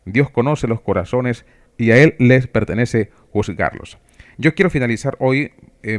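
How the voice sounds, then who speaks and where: A man from Venezuela with a Venezuelan accent, preaching for radio in a measured, didactic way. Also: medium pitch. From Trujillo, Venezuela. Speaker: preacher and radio program host. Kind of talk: sermon talk for radio.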